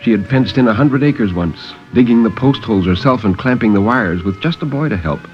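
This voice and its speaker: A man narrating a story in a theatrical 1950s radio style with measured pauses. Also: fairly low pitch, animated, faint music behind. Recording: dull vintage 1950s radio recording.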